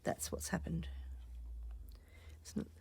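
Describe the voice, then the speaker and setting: A woman recovering from a cough, chatting casually while she stitches, often dropping to soft half-whispers as she thinks aloud. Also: slow, no room sound. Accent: Australian. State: croaky from a cough. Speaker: stitching channel host. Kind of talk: casual crafting vlog chat.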